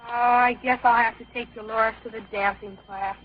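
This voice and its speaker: An actor playing a lively, indignant young boy with artistic ambitions in an old-time radio comedy. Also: high voice, fairly quick, soft background music. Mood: scheming. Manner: excitable, eager, protesting. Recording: dull vintage radio recording.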